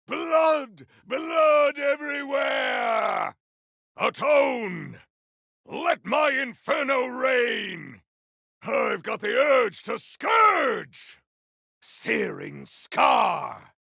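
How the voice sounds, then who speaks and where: A male voice actor playing a grandiose, battle-hungry video game warrior, declaiming in a bombastic, archaic style with a rough, gravelly voice. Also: very high-pitched, bright, forceful tone, dry recording, studio quality, exaggerated voice. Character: grandiose battle-hungry warrior wielding fire, frost and storm. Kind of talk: video game combat barks.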